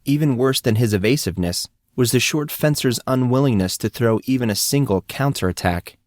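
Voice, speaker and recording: A man's voice: mid-range voice, fairly quick, a little mellow, dry recording, clean studio sound. A man reading scripted fiction as an audiobook narrator.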